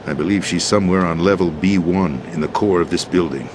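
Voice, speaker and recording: A man's voice: low voice, brisk, noisy background, close to an everyday voice. An actor playing a resolute, urgent American president in a video game drama, solemn and commanding in his delivery. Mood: grave, urgent. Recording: loud music bed under voice.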